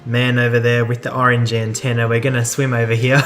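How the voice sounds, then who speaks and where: Guy doing a chill let's play, higher-side middle voice, explains stuff informally, game music behind. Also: flat delivery, brisk, a bit bright. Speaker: gamer narrating a let's play. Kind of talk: casual gameplay commentary.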